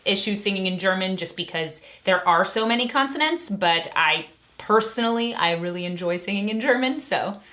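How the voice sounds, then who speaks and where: Bright, upbeat young woman explaining opera. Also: mid-range voice, expressive, normal speed. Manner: upbeat, enthusiastic, teacherly. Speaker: opera singer and channel host. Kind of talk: casual Q&A to camera.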